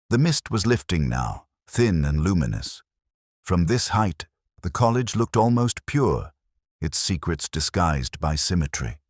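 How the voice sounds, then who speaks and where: Very low male voice, actor as a brooding police inspector, solemn literary narration, expressive swings, detective audio drama. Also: breathy edge, no echo, studio quality, heavily acted. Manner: solemn, literary, measured phrasing.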